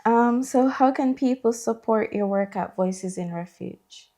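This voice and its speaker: A woman hosting a podcast, asking short questions softly. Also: on the high side, measured pace. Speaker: podcast host. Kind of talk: podcast interview.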